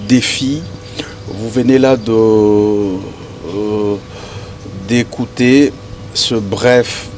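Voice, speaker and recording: A man's voice: fairly low pitch, a little mellow, slight background noise. A man interviewing a guest, hesitant with many fillers, switching between languages. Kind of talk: casual interview questions.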